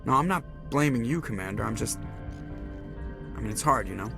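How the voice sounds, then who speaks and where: An actor playing a young starship pilot, shaken but loyal, halting and earnest in a video game, with music behind him. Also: male voice, medium pitch, animated, creaky.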